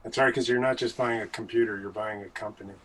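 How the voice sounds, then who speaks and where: A man's voice: on the low side, fairly even intonation, quick pace. An older man chatting casually as a panel guest. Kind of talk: casual chat. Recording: distant from the microphone.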